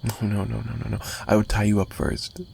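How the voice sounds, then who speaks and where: A man's voice: on the low side, flat delivery, a little fast, vocal fry, darkish tone. A man playing a possessive, menacing mafia boss in an ASMR roleplay, taunting the listener with theatrical mock sweetness and threats. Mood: mock-apologetic, obsessive. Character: possessive, menacing young male mafia boss, obsessive kidnapper. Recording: noticeable background noise.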